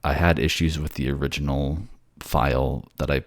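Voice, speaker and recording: A man who works as a voice actor, speaking in a soft-spoken narrator's style. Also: rumbling low, fairly even intonation, normal speed, no room sound, studio quality. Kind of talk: soft-spoken story narration and casual outro.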